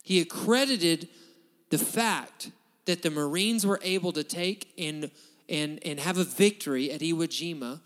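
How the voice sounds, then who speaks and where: A man's voice: a little high, unhurried, fairly bright tone. Emphatic preacher man in a reverberant hall. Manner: emphatic, repetitive restarts, rising bursts of intensity. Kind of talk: sermon.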